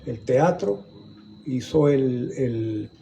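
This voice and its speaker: Older Venezuelan man, dark voice. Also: medium pitch, expressive, drawn-out words, a little hiss or hum, low-quality recording. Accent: Venezuelan Spanish. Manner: rambling, repeats and restarts words while recalling. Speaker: guest historian. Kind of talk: conversational storytelling in a museum talk. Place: museum gallery, indoors.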